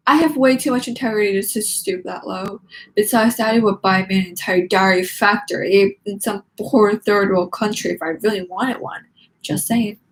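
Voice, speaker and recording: A woman's voice: mid-range voice, moderate pace, natural voice. Woman playing a snobby rich schoolgirl, noisy recording. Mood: haughty, boastful. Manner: haughty, sugary-mean, dramatic. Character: snobby spoiled rich schoolgirl drama queen, gloating and catty. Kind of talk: read-aloud of a teen diary storybook.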